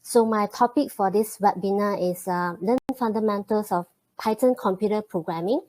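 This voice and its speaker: Woman, webinar host, relaxed and friendly, filler-heavy delivery. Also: mid-range voice, rough recording. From Malaysia. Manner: friendly, many fillers and pauses. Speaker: webinar host and programming teacher. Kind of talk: live online webinar greeting and intro.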